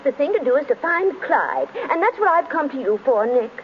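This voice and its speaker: A woman's voice: sits high, very animated, a little fast. An actress playing a gushing, scheming society mother with a theatrical, scolding manner in an old radio drama. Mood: pleading. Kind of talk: 1930s radio drama acting. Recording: dull, noisy old radio recording.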